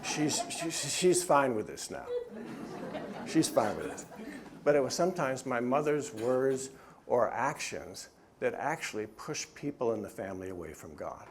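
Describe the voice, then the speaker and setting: A man's voice: mid-range voice, very animated, unhurried, a little hiss or hum. A middle-aged man, the author, gives an earnest talk at a church and answers questions, often stopping and restarting. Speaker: author and speaker.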